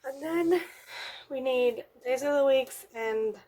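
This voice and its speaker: A woman with a froggy, hoarse voice chatting casually as she decorates a planner, thinking aloud and counting under her breath. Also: high voice, slow, warm tone, rough recording. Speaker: planner vlogger. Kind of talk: casual talk while drawing a journal spread.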